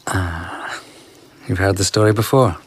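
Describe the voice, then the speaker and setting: An actor playing an enigmatic, flirtatious traveller in a radio drama, telling tales in an unhurried, playful way. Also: male voice, sits low, a little hiss or hum. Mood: playful, storytelling. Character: enigmatic, charming, flirtatious well-travelled male storyteller of uncertain age. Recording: outdoor garden ambience with insect chirps.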